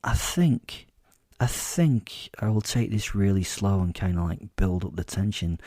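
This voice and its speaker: A man's voice: low-pitched, measured pace, warm tone, no echo, clean studio sound. A man playing a sly, sadistic, self-assured captive werewolf who tells his story in a confiding, gloating way for a horror comedy audio drama. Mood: scheming.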